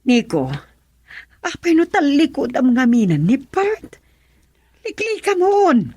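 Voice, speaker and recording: Animated, scolding mother. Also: high-pitched, slow, heavily acted. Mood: reproachful. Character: scolding, worried mother of a grown son. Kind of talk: radio drama acting.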